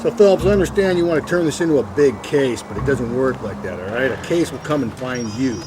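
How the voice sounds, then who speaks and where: An actor playing a cynical older American homicide detective in a noir video game, sardonic, blunt and lecturing. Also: male voice, medium pitch, expressive, brisk, noisy background, close to an everyday voice. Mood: gruff lecturing. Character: cynical, world-weary older male homicide detective partner. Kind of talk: video game dialogue acting, 1940s noir.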